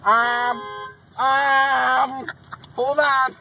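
A young man talking casually and haltingly, stalling as he orders like a customer from inside a car. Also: very high-pitched, flat delivery, vocal fry, bright, forceful tone, a little hiss or hum. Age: young adult. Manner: hesitant, stalling. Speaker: prankster customer at a drive-thru. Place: inside a car at a drive-thru. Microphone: handheld camera inside the car.

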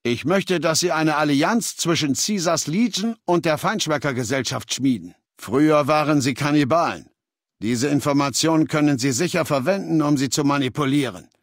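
An actor playing a commanding, ruthless middle-aged warlord in a video game, authoritative and deliberate, with a gravelly, husky voice. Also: male voice, on the high side, no room sound, studio quality. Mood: cool, commanding. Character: commanding, ruthless male warlord, leader of a legion. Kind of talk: dramatic video game voice acting, German dub. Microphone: close, dry studio recording.

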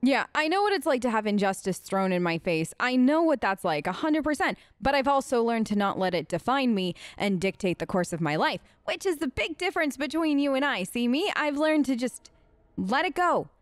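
A woman's voice: a little high, sing-song, moderate pace, no room sound, studio quality. A woman hosting a role-play let's play, talking casually and informally as she improvises in character.

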